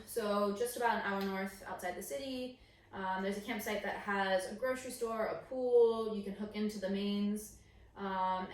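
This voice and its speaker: A woman's voice: mid-range voice, a little hiss or hum. A young American woman co-hosting a vlog in casual, informal chat with a lightly joking manner. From United States. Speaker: co-host of a couple's vlog, content creator. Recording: very echoey room, distant mic, faint music.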